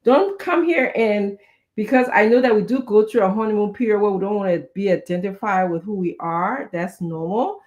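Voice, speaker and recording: A woman's voice: mid-range voice, moderate pace, darkish tone, lo-fi sound. A middle-aged woman with a Liberian accent, giving earnest, conversational advice in an emphatic way with short, repeated exhortations. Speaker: guest speaker giving parenting advice.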